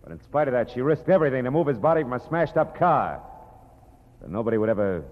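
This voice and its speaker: An actor playing a hard-boiled, world-weary private eye who narrates in a dry, brooding tone, in a 1940s radio drama. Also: male voice, mid-range voice, lively intonation, fast talker, no room sound, natural voice. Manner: dry, brooding, tough-talking. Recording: muffled old radio broadcast with music.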